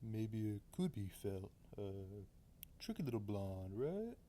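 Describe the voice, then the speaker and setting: A streetwise man of the slums, played by a woman, low and mellow. The delivery is offhand and hesitant but swings expressively, as in an anime fan dub. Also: very animated, slow, a little hiss or hum, exaggerated voice. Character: streetwise slum-dwelling man, casual and helpful.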